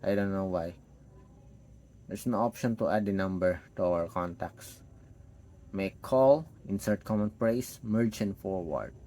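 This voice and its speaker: A man's voice: sits low, measured pace, mellow. A man giving an unscripted, step-by-step hands-on product demo, thinking aloud as he tests. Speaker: tech product reviewer.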